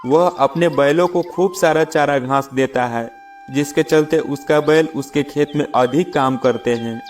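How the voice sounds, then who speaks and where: A man's voice: on the high side, a little slow, faint music behind, voice pushed up, close to an everyday voice. A man voicing a greedy, jealous farmer who grumbles and schemes in a children's moral story. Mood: complaining.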